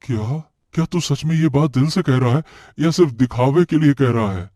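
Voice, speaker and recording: A man's voice: mid-range voice, moderate pace, dark tone, no room sound, clean studio sound, close to an everyday voice. A man voicing a village farmer in a cartoon story, talking to an old friend. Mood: wary.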